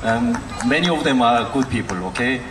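A man from South Korea giving a casual greeting with joking, relaxed asides. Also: medium pitch, brisk, echoey room, recorded from a distance, background noise.

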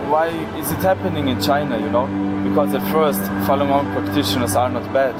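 A man's voice: medium pitch, brisk, over loud music. A young man in his late teens from Austria, giving earnest answers in an interview.

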